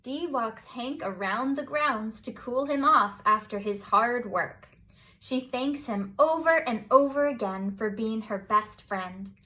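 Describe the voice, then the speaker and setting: Woman, storybook reader, higher-pitched voice, unhurried pace, expressive children's narration. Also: rough recording.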